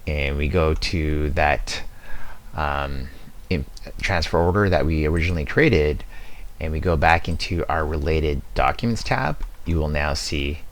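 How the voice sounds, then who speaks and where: A man with an exceptionally deep voice narrates a software walkthrough. He sounds casual and conversational, explaining each step plainly as a presenter would in a screen demo.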